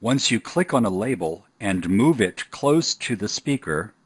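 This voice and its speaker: A man with a fairly low, husky voice delivers scripted instructional narration. The sound is dry and close, as in a software tutorial. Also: big pitch swings, measured pace, dry recording.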